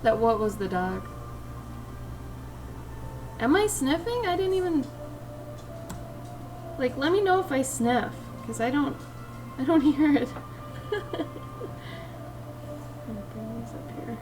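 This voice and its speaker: A young woman with a raspy voice, chatting in a chill, laid-back way with viewers while drawing on a livestream, sniffing now and then. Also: high voice, slow, long pauses, far from the mic, music in the background, low-quality recording. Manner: chill, relaxed, sniffing often. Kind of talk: casual chat with stream viewers while drawing. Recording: steady background noise.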